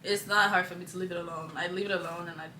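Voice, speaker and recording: A woman's voice: fairly low pitch, rapid-fire. Young woman from Nigeria chatting casually. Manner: relaxed, rambling, uses slang. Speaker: guest. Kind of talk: casual chat. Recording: echoey room, faint noise.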